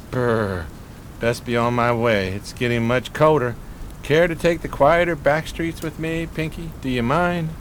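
A man's voice: mid-range voice, slight background noise, lightly acted. Man acting a grandiloquent, melancholy down-and-out drifter. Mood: resigned, weary. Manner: florid, grandiloquent, theatrical phrasing. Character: eloquent, melancholy homeless male drifter in 1920s New York. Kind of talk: radio theater acting.

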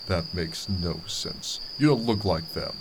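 Male actor as federal agent, low pitch, stern, doubting, radio drama, noisy insect-like background. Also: lively intonation, a little slow. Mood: skeptical.

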